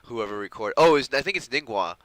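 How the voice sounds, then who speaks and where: A man's voice: mid-range voice, lively intonation, brisk, fairly bright tone, no room sound. A man giving casual live gameplay commentary as a streamer, slangy and given to swearing and mimicry. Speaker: game streamer.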